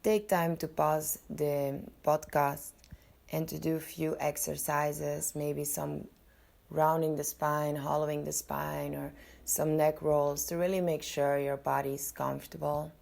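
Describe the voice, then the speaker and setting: Very slow, low-pitched woman guiding meditation. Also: level tone, gravelly, darkish tone. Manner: soothing, unhurried, with long pauses between short phrases. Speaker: podcast host and yoga nidra guide.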